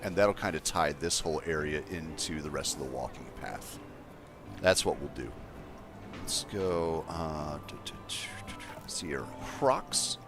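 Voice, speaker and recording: A man with a deep voice casually chatting to his viewers as he builds in a game livestream, thinking aloud in an easygoing way. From Colorado, USA. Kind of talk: casual gameplay livestream chat.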